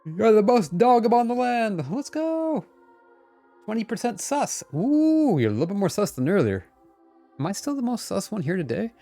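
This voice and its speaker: A man's voice: high voice, big pitch swings, moderate pace, mellow. A man streaming a game, chatting casually with playful, goofy interjections over game music. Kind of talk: casual livestream chat.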